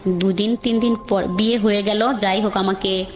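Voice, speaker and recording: An adult woman, calling in to tell a radio host her life story in a halting, reflective way with frequent restarts. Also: mid-range voice, steady tone. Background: soft background music.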